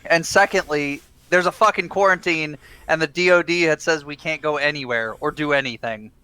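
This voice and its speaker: Male player, clear resonant tone, quick crude humor. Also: a little high, sharp tone, no echo, poor audio. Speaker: player, a father. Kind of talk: casual chat before a tabletop game.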